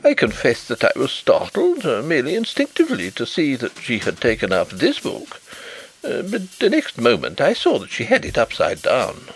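A man's voice: huge pitch swings, normal speed, faint music behind, low-quality recording. A man voicing a fussy, anxious male bank manager who stammers and frets, in a read-aloud story. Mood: flustered. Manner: agitated, stammering, high-strung.

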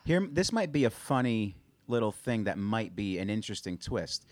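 A man's voice: fairly low pitch, very animated, moderate pace, no echo. A man co-hosting a casual movie podcast, searching aloud for names with many ums and uhs. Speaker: podcast co-host.

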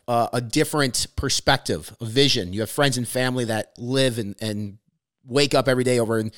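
A man's voice: medium pitch, fairly bright tone. A man hosting a podcast, talking loosely and casually and swearing now and then. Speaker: podcast host.

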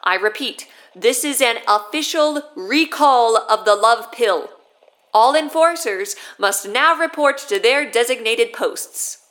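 An actress playing an official government announcer, formal and authoritative, reading a public announcement. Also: female voice, a little high, lively intonation, slow, fairly bright tone. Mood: urgent, official.

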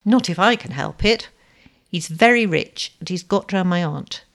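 A woman's voice: fairly low pitch, lively intonation, normal speed, a bit bright. A woman voicing a spirited, rebellious young woman in a story, frank and insistent. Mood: rebellious confiding.

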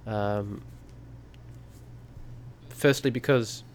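A man's voice: fairly low pitch, drawn-out words, a little hiss or hum. A man from the UK, chatting thoughtfully and easily on a podcast. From United Kingdom. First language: English. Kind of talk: casual podcast chat.

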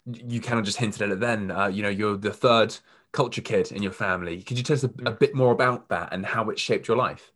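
A man hosting an interview, asking friendly, halting questions with frequent fillers. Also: fairly low pitch, fairly bright tone. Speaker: podcast host.

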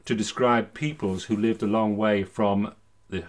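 A man's voice: lowish voice, steady tone, a little slow. A man hosting a podcast, talking in an erudite, explanatory way and sometimes reading out quotations. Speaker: podcast host. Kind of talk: discursive conversation with read-out historical quotes.

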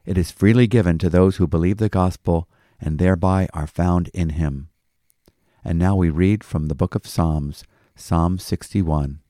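A man speaking as a pastor, giving measured Bible commentary and reading scripture in a devotional style. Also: sits low, dark tone, no room sound, studio quality. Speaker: pastor and host. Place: small office studio.

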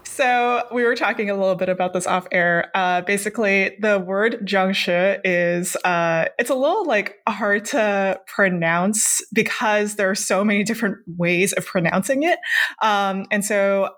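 A woman's voice: medium pitch, measured pace, fairly bright tone. A young woman from Hong Kong whose first language is Cantonese, talking casually about her work as a game designer, with lots of fillers and restarts. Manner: chatty, many fillers and restarts. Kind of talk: casual interview conversation.

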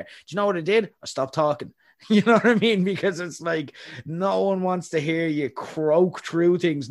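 A young adult man, hosting a podcast and giving rambling, opinionated takes. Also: a little high. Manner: rambling, opinionated, frequent fillers. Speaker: podcast host. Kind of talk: casual chat.

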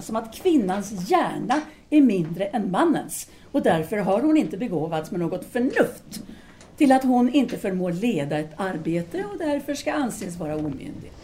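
An actress playing an assertive, intellectual 1930s feminist in an audio drama, speaking formally and emphatically as if lecturing. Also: female voice, on the high side, dark tone, a little hiss or hum. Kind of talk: Swedish audio drama dialogue.

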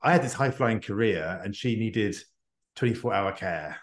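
A man's voice: fairly low pitch, expressive, normal speed. A middle-aged man speaking earnestly and reflectively as an interview guest. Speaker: podcast guest, executive coach and author. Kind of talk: conversational interview. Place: indoor room, remote video call. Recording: echoey room on a remote call.

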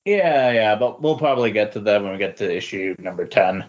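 A man chatting casually as a podcast guest, halting and rambling as he corrects himself. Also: lowish voice, muffled recording. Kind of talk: casual chat about comic books.

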